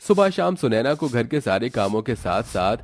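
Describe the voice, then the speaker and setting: A man's voice: medium pitch, big pitch swings, normal speed, dry recording. A man narrating a scripted moral story as its storyteller.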